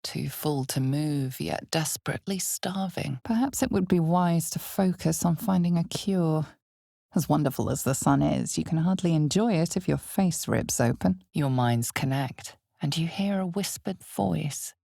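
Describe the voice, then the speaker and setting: An actress voicing an omniscient, solemn and ominous narrator in a fantasy video game, measured and theatrical, with weighty pauses. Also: female voice, warm tone, no room sound, studio quality, heavily acted. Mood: wry, knowing. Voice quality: husky, breathy, low. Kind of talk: dramatic scripted second-person narration of a fantasy game.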